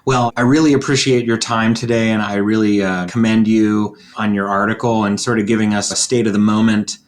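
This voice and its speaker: Slightly low-voiced male interviewer. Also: level tone, brisk. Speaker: podcast host, interviewer. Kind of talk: professional podcast interview.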